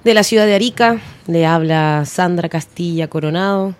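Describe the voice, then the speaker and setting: A woman from Peru introducing herself on the radio in a lively way, lifting her pitch on key words. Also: moderate pace. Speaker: radio guest.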